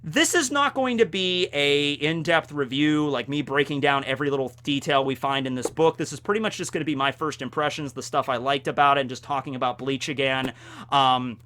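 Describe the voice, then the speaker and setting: A man hosting a video, talking to viewers in a casual, slangy, animated way like a fan giving commentary. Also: on the high side, brisk. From United States. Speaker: video host reviewing a light novel.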